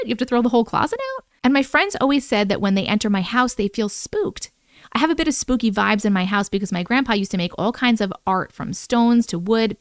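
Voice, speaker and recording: A woman's voice: medium pitch, no echo. Woman in her 30s reading scary stories into a big mic in her bedroom, chatty asides, dry close sound. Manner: chatty, animated reactions between readings. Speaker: host reading viewer stories. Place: bedroom, indoors. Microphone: large studio mic on boom in front of her.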